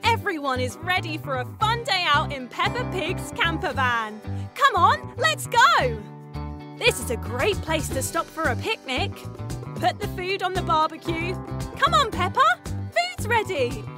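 A woman voicing a bubbly, excitable presenter in a children's toy advert, with an eager sing-song delivery. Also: extremely high pitch, measured pace, pitched above the performer's own voice, heavily acted. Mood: excited, cheerful. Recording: studio voice-over with music behind.

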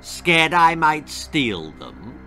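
A man's voice: on the high side, very animated, a little slow, sharp tone, exaggerated voice. A man voicing a sly, spiteful schoolboy rival who fakes charm, over faint music in a storybook reading. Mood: mocking. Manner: silky, mocking charm.

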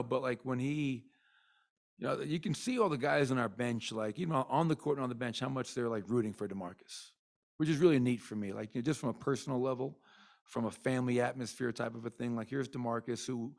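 Middle-aged male coach, postgame presser, candid and rambling, mid-pitched voice, desk mic in an arena press room. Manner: candid, rambling with many fillers. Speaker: basketball head coach. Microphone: desk mic in front.

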